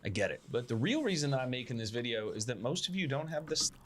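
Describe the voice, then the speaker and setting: A man's voice: medium pitch, sing-song, fast, fairly bright tone. A man delivering a prepared video statement to camera in an earnest, self-justifying way, with formal, repetitive phrasing. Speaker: video creator giving a response statement.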